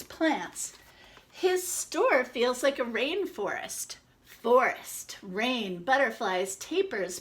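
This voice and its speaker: A woman's voice: on the high side, a bit bright. A woman reading a picture book aloud expressively, with informal asides as she points out the illustrations.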